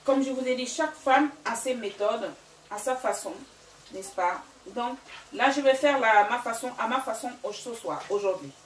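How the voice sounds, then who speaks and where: A woman's voice: fairly high pitch, normal speed, reverberant, distant mic, a little hiss or hum, poor audio. A woman from Gabon and Equatorial Guinea, French not her first language, chatting through a home cooking vlog with many fillers. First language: Fang or another local language of Equatorial Guinea. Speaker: cooking channel host. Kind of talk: casual cooking vlog talk. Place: home kitchen.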